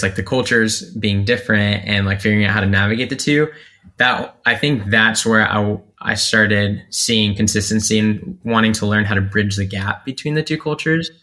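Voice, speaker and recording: Low, bright-voiced reflective man. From North Carolina, USA. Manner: reflective, many fillers and restarts. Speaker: guest, content creator. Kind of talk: casual interview talk.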